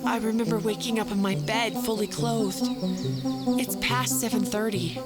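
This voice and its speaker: Woman acting a haunted, high-voiced female patient, loud music. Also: steady tone, lightly acted. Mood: confused, weary. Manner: hesitant, halting, dramatic. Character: frightened exhausted woman patient recounting an alien abduction. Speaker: actor in an audio fiction podcast. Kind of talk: audio drama, first-person recollection under hypnosis.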